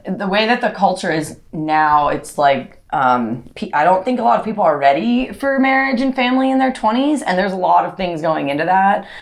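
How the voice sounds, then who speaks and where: A woman's voice: fairly low pitch, expressive, fairly quick, few pauses. A woman co-hosting a casual conversation, thinking aloud reflectively with fillers. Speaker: co-host.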